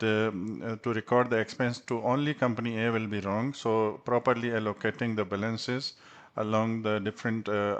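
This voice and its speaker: A man presenting a software tutorial as an instructor, speaking haltingly with frequent hesitations. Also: lowish voice. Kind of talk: tutorial presentation over slides.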